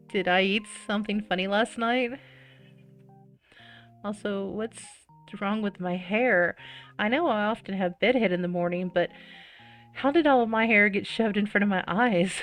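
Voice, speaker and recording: Woman gamer, mid-pitched, animated story read-aloud with casual reactions, light music underneath. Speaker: gamer playing and reading a visual novel aloud.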